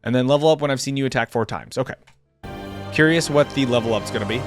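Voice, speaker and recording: A man's voice: mid-range voice, quick pace. A man giving casual reaction commentary as a gaming channel host, chatty and thinking aloud. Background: game theme music.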